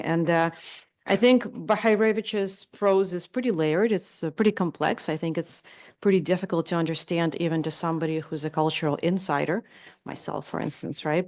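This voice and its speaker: A woman in the audience asking a long question at a conference, often adding "right?". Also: lowish voice.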